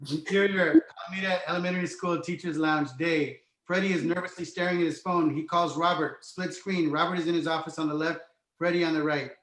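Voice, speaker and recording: Male narrator, scene directions for a table read, higher-set voice, roomy echo. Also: fairly even intonation, fairly quick, a bit bright. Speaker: narrator reading stage directions.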